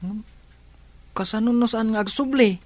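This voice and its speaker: An actress playing a worried younger sister in radio drama style. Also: female voice, a little high, normal speed, lightly acted. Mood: worried, questioning. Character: worried younger sibling of the adopted daughter. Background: loud dramatic music.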